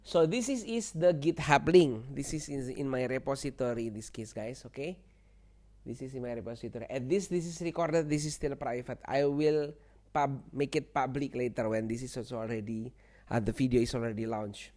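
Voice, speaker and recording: A man who speaks English as a second language presents an informal software walkthrough, repeating himself and often checking in with the listener. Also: mid-range voice, fairly quick. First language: not English. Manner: repetitive, seeks agreement with "okay" and "right". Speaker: tech tutorial presenter.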